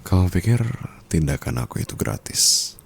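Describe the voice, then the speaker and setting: A man's voice: lively intonation, exaggerated voice. A man playing a dominant, teasing yet caring sugar-daddy husband in an intimate ASMR roleplay, murmuring and slipping into whispers. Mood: seductive, menacing. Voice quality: very deep, gravelly, husky. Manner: slow, teasing, soft murmurs dropping into whispers.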